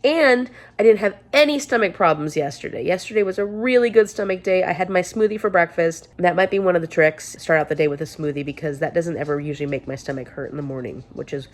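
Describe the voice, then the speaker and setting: A chatty, upbeat woman with a fairly low voice, talking casually to her camera in a rambling way. She sounds a little congested, with a sore throat. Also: quick pace, few pauses. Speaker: book vlogger.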